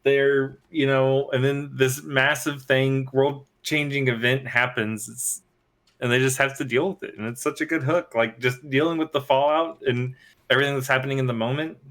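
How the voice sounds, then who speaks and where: A man with a medium-pitched voice chats as part of a podcast panel. He talks informally, in loose, conversational phrases. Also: fairly even intonation, moderate pace, fairly bright tone. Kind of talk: casual chat.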